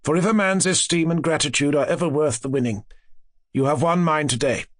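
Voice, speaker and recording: A man's voice: fairly high pitch, brisk, natural voice. A man voicing a humble, earnest young lord in grief, as dialogue in a gothic audiobook. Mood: grateful, fervent.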